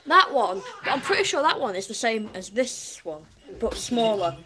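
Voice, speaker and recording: Playful young woman, high voice, noisy home live stream. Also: very animated, normal speed. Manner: playful, giggly, teasing. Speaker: vlogger. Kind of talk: casual live-stream chat. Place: living room, indoors.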